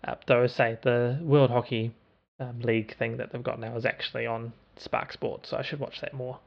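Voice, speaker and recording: A man's voice: medium pitch, little pitch movement, moderate pace, creaky. A man, co-hosting a podcast in casual chat, hesitant and rambling with many ums and restarts. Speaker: podcast co-host. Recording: dull lo-fi podcast audio.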